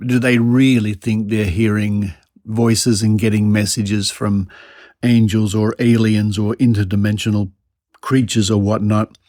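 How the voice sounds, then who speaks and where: A man's voice: on the low side, flat delivery, unhurried, warm tone, no echo. A male podcast host with an Australian accent, commenting with dry, mock-incredulous sarcasm.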